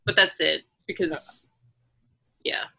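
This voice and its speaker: A woman's voice: low voice, steady tone, takes it slowly, gravelly, pushed and bright, faint music behind. A woman co-hosting a podcast, chatting casually with the others. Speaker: podcast co-host. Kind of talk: casual panel chat.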